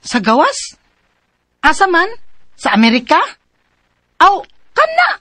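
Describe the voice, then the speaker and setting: A woman's voice: extremely high pitch, wildly swooping pitch, takes it slowly, pushed and bright, no room sound, full character voice. An actress with a Filipino Cebuano accent playing a fed-up, pushy, whining poor rural wife and mother in a radio drama. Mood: eager, coaxing. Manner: whining, pushy, punctuated with exclamations.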